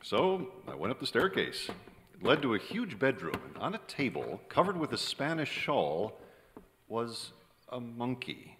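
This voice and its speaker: A man's voice: mid-range voice, wildly swooping pitch, measured pace, fairly bright tone, a little hiss or hum. A man playing a cynical, broke screenwriter in an old-time radio drama, with a wry, colloquial delivery. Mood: wry, weary. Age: middle-aged. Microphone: stand microphone on stage. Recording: reverberant theater stage recording.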